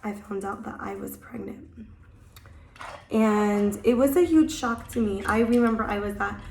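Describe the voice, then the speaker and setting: A young woman vlogging in a casual, rambling way, her voice hoarse from a cold and just coming back. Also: medium pitch, measured pace, a little mellow, echoey room, slight background noise. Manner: chatty, rambling, many "like" fillers. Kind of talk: casual chat to camera. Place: at home.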